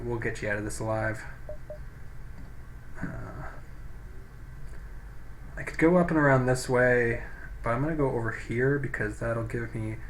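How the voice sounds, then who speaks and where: A man with a medium-pitched voice casually narrates his gameplay. He thinks aloud with some hesitation and reads game text out. The recording is plainly noisy, from a low-quality microphone. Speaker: gameplay video host.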